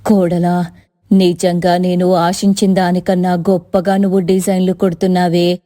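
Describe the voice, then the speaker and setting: A woman's voice: fairly low pitch, level tone, normal speed, mellow, close to an everyday voice. A woman voicing a humble woman of a village tailoring family in a cartoon moral story, speaking earnestly. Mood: admiring. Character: humble women of a village tailoring family, mother-in-law and daughter-in-law. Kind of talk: animated moral story dialogue.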